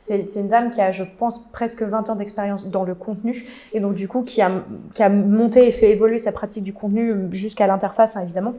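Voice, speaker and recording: Mellow-voiced woman, hesitant panel talk. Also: medium pitch, steady tone, fast, hardly any pauses. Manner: hesitant, many fillers and restarts, opinionated. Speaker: content designer, panelist. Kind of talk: casual panel discussion.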